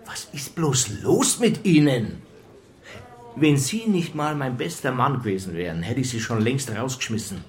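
An officious police superior in a crime radio play. His medium-pitched voice scolds with exasperation and reproach, every remark a reprimand from a boss at the end of his patience. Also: big pitch swings, measured pace. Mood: exasperated. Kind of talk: radio drama acting.

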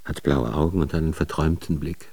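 Deep, gravelly male narrator. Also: fairly quick, dark tone. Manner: factual, matter-of-fact. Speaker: documentary narrator in a radio drama. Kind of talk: scripted narration.

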